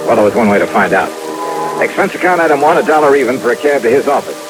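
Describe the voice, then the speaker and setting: A man's voice: medium pitch, very animated, quick pace, music in the background, natural voice, low-quality recording. A man acting a wry, hard-boiled insurance investigator in a 1950s radio drama, with dry, punchy wisecracks, on a hissy old recording. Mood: brisk narration.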